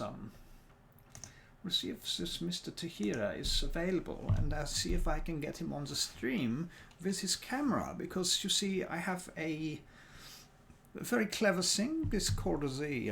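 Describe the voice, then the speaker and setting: A tired man from New Zealand talks his way through a livestream, thinking aloud and muttering to himself in a put-on accent. His voice sits a little high and swings up and down a lot, with a gravelly edge. A faint hiss sits under the talk. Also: fairly bright tone. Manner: deliberately speaking in a put-on non-native accent. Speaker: dungeon master and streamer. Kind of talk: casual livestream chat while working.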